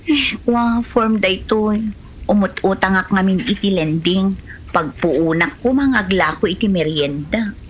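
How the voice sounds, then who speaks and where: A woman's voice: medium pitch, a little slow, lo-fi sound, slight background noise, natural voice. A woman playing an aggrieved village snack seller in a comedy drama, with an Ilocano accent. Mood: earnest, troubled. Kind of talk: Filipino comedy drama dialogue.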